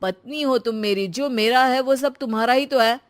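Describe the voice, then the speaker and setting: A woman's voice: a little high, normal speed, lightly acted. A woman voicing a boastful, breezy young husband who spends freely, in a cartoon story. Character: showy, carefree husband, big spender, cartoon young man.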